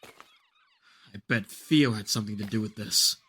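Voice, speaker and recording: A man's voice: lowish voice, unhurried, a bit bright, breathy edge. An actor playing an earnest, determined man who insists on pressing ahead, in an audio drama.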